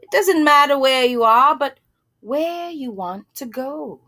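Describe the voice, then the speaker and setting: Woman playing a knowing bearded gentleman, slow and emphatic. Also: sits high, expressive, faint music behind, pitched above the performer's own voice, exaggerated voice. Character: wise, cryptic bearded gentleman in a storybook.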